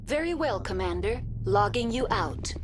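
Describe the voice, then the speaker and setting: An actress playing a polite, impassive facility computer in a video game, speaking in formal, measured announcements. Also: female voice, medium pitch, animated, a little hiss or hum, close to an everyday voice. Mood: calm, impassive. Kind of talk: scripted video game dialogue.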